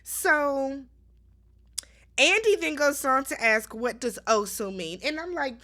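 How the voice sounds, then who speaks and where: A woman's voice: sits high, animated, takes it slowly, pushed and bright. A woman giving casual, chatty recap commentary as a host, emphatic and opinionated, often addressing her listeners directly.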